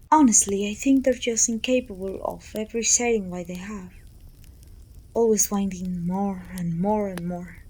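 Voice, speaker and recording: A woman playing a pompous, self-pitying evil queen who talks down to her servant in an intimate, breathy ASMR roleplay, often dropping toward a whisper. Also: medium pitch, mellow, natural voice. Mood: haughty, scolding. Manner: pompous, petulant, theatrical sighing complaints.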